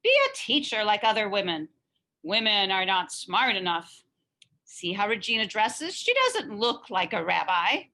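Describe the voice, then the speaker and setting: Woman as scolding townsperson, bright sharp tone, nagging, storybook. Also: mid-range voice, huge pitch swings, measured pace, exaggerated voice. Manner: nagging, admonishing.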